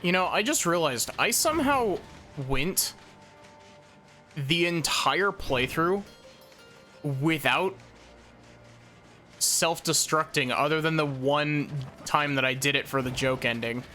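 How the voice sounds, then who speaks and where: A man casually commenting on his gameplay stream, thinking aloud, his voice slightly hoarse. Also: a little high, slow, pauses often, soft background music. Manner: relaxed, chatty, thinking aloud.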